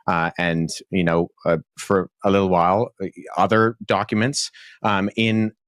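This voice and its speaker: A young man with a lowish voice explains his reasoning earnestly and articulately. He speaks at a measured pace and uses frequent ums and uhs. The sound is dry and close, with no room echo. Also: little pitch movement, fairly bright tone. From born in Pakistan, childhood in Jordan. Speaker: debate guest, historian. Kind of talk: podcast debate answer.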